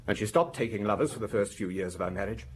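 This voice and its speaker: An actor playing a weary, defensive husband under police questioning in a radio drama, speaking hesitantly and explaining himself in broken sentences. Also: male voice, lowish voice, animated, quick pace, slight background noise, lightly acted. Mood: guarded.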